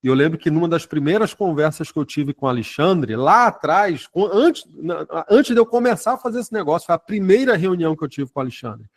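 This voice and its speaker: A man's voice: a little high, lively intonation, a little fast, hardly any pauses. A man, leading a sales network and talking informally, tacking "né?" and "tá?" onto his sentences and often restarting words. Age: 40s. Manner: conversational, with frequent "né?" and "tá?" tag questions. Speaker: network marketing leader.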